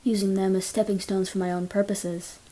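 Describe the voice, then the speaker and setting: A woman voicing a cynical, calculating twelve-year-old orphan boy in a measured, blunt anime-style fan dub. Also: mid-range voice, level tone, warm tone, close to an everyday voice. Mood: bitter, defiant.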